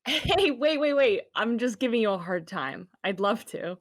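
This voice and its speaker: A woman's voice: fairly high pitch, lively intonation, moderate pace. A woman playing an easygoing, teasing college student with a casual delivery in a table read. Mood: amused, friendly. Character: easygoing, teasing young female college crush. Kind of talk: table read of a pilot script.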